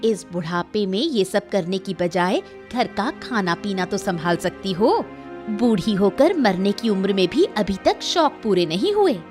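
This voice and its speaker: A woman voicing a spiteful, sharp-tongued village woman in a cartoon story, taunting and nagging. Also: mid-range voice, sing-song, unhurried, soft background music. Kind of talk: Hindi cartoon story voice acting.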